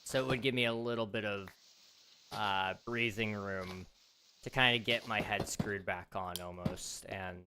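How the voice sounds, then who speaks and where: A man's voice: lowish voice, fairly even intonation, unhurried, sharp tone, a little hiss or hum, rough recording. A man hosting a casual gaming livestream, rambling and chatty with lots of fillers, his voice slightly strained as he starts to lose it. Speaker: livestream host.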